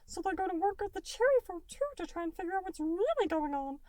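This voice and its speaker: Woman voicing a very high-pitched, excitable little goo guy. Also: fast, dry recording, studio quality. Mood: eager, excited. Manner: enthusiastic, rambling, detailed. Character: childlike excitable male cartoon goo creature retelling shows. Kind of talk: breathless rambling cartoon retelling.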